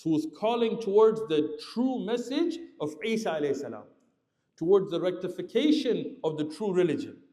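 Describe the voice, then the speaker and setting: Animated male preacher in an echoey hall. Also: normal speed. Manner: animated, lively pitch swings, explanatory asides. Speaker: Islamic preacher. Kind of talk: sermon storytelling with commentary. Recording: roomy hall reverb.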